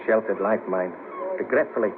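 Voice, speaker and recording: A man's voice: lowish voice, brisk, lightly acted. Disillusioned ex band manager played by a man, noisy old tape. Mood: rueful. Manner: hesitant, rueful, clipped phrases. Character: down-on-his-luck ex band manager, disillusioned, easily shocked. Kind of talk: 1970s radio play acting. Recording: noisy muffled cassette of 1970s radio broadcast.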